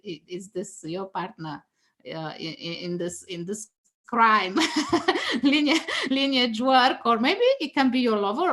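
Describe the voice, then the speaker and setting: A woman's voice: a little high, a bit bright. A woman whose first language is Danish, a guest tarot reader talking casually and teaching, laughing often and restarting her phrases with many fillers.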